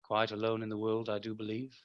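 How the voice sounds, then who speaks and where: An actor playing a warm, teasing husband sharing news in a radio play. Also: male voice, on the low side, monotone, quick pace, no echo, lo-fi sound, natural voice. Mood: gossipy.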